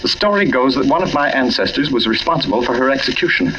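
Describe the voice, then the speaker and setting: A man playing an uneasy, indignant gentleman, hesitant and stiffly protesting, in 1940s radio mystery style. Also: medium pitch, expressive, quick pace, slight background noise. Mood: guarded. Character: uneasy, indignant well-to-do gentleman under questioning. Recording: muffled old radio recording.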